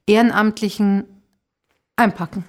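A woman's voice: mid-range voice, fairly even intonation, measured pace, darkish tone. A woman hosting a conversational podcast interview in a chatty way, reacting with exclamations and putting questions to a guest. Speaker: podcast host.

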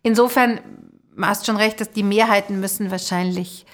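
A woman's voice: mid-range voice, fairly even intonation, moderate pace. A middle-aged woman hosting a podcast in casual conversation, often searching for words and trailing off. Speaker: podcast host.

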